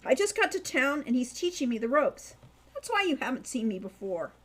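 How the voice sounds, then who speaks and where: A woman's voice: sits high, very animated, a little fast, fairly bright tone, full character voice. A woman voicing a plucky, sly young girl in an audiobook, breezy and cheeky. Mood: proud. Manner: breezy, carefully casual, cheeky.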